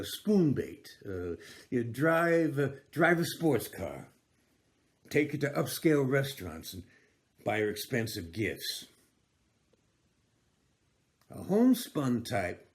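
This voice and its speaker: A man playing a folksy fatherly figure in a comic monologue, musing and halting as he hands a boy homespun life advice. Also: medium pitch. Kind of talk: comic monologue, amateur theatre.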